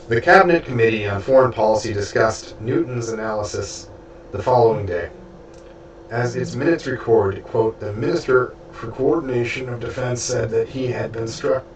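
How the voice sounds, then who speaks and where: A man reading a book aloud with spoken asides, stuffy and losing his voice, halting and stumbling over names. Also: on the low side. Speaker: audiobook reader and streamer. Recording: roomy, distant from mic, faint background hiss.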